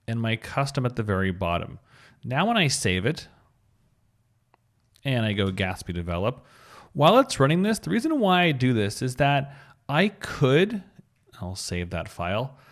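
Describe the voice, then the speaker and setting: Male tutorial presenter, medium pitch, very varied intonation, informal think-aloud delivery, walks through technical steps. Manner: thinks aloud, spells out code terms step by step. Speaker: web design tutorial presenter. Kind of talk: informal screencast coding tutorial.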